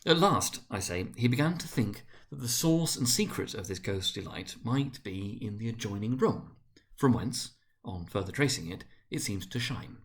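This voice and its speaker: A man reading a story aloud as narrator, in a dramatic literary style with theatrical, deliberate phrasing. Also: fairly low pitch, expressive. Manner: theatrical, deliberate phrasing with emphatic swells and hushed drops.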